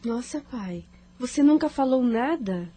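A young woman playing an anxious, troubled daughter in a radio drama, with plaintive, expressive delivery. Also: on the high side, unhurried, a little hiss or hum. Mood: uneasy. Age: young adult.

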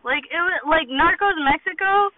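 Casual podcast host in his car, high-voiced man, animated ranter who swears, tinny phone-like recording. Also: moderate pace. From Boston, United States. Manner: animated, ranting, swears freely. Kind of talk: casual chat. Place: parked car.

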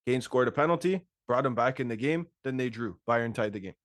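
A man co-hosting a casual football podcast, talking fast and animated and laughing often. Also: medium pitch, dry recording, clean studio sound. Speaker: podcast co-host. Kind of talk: casual sports chat.

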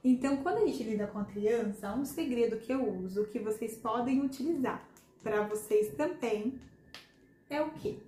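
A hoarse woman hosting a casual podcast conversation, speaking earnestly and addressing listeners directly. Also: fairly high pitch, moderate pace, dark tone. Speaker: podcast host and hypnotherapist. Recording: echoey room with faint background noise.

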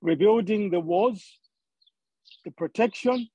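A man's voice: sits high, takes it slowly, mellow, breathy edge, dry recording, lo-fi sound. A man from Zambia preaching a sermon, often repeating words as he searches for the next phrase.

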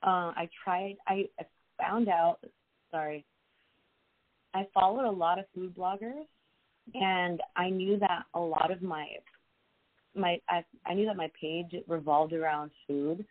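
Young woman guest, lowish voice, rambling casual chat, tinny phone-line sound. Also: fairly even intonation, a little slow, long pauses. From Los Angeles, USA. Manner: chatty, many fillers and restarts. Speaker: podcast guest, social media creator.

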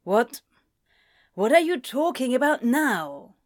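Audiobook role: tense young male student played by a woman, short-fused and blunt, slow, weighted delivery. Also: medium pitch, lively intonation, no echo, clean studio sound. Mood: confused, flustered. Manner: clipped, blunt, snapping.

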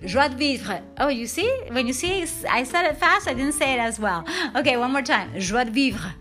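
A woman's voice: sits high, animated, moderate pace, a bit bright, faint music behind. Bubbly woman. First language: Spanish. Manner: upbeat, bubbly, encouraging, with frequent laughs. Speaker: podcast host and fitness coach. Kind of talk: enthusiastic motivational podcast talk.

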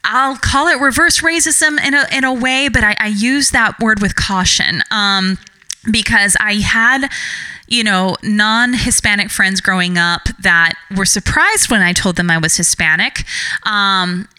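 Earnest, bright-voiced middle-aged woman. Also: mid-range voice, measured pace, clean tone, dry recording. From Puerto Rico heritage, raised in the US. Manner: earnest, reflective, many restarts and fillers. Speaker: podcast co-host, mother. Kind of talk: casual conversational podcast.

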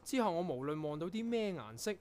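A man acting in a Cantonese radio drama as an earnest, proud young composer, telling things in a reflective, measured way. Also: a little high, very animated, darkish tone. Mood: explaining. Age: young adult.

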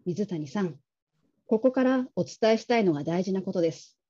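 Woman acting a very mellow, courteous manager. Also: medium pitch, animated, breathy edge, muffled recording. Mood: earnest, measured. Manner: gentle, measured, courteous. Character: polite, caring, discreet female call-centre manager. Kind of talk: radio drama reading.

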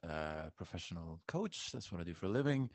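Very deep-voiced Belgian man in his forties. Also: sing-song, brisk, no room sound. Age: 40s. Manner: friendly and forthcoming, with frequent "uh" fillers. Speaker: guest. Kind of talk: casual interview talk.

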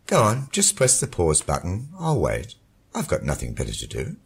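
A sardonic dead husband taunts his widow, as a man voices him. His very deep voice swings widely in pitch and grinds with gravel. A breathy, husky edge hangs under the warm, controlled delivery. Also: very animated, normal speed, exaggerated voice. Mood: mocking. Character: mocking dead husband, middle-aged man, cynical.

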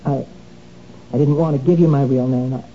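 An actress playing a flustered young wife caught shoplifting, stammering and pleading in a 1970s radio drama. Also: female voice, a bass voice, steady tone, a little fast. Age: young adult. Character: anxious young wife who can't stop stealing. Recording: dull lo-fi 1970s radio broadcast, faint hiss.

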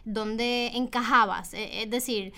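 A woman's voice: on the high side, steady tone, takes it slowly, pushed and bright. A woman interviewer asking questions, mixing English phrases into her Spanish.

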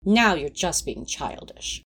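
A woman's voice: lowish voice, lively intonation, slow pace, a bit bright, slightly rough, full character voice. A woman playing a fussy, placating, easily affronted male companion in a fan audio drama, prim and coaxing.

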